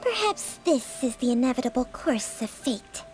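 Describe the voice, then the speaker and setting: A woman voicing a small, outspoken, childlike snake companion in a video game, with expressive, theatrical phrasing. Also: sits high, normal speed. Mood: solemn. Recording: game audio with prominent music behind.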